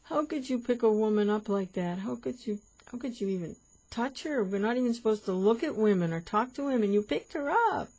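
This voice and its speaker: A woman voicing an indignant celibate male monk who nags his companion in shrill, rising disbelief. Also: expressive, a little fast, few pauses, darkish tone, rough recording.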